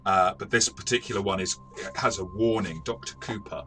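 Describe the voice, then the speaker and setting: Low-pitched man in game review chat. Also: brisk, background noise. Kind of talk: conversational review discussion.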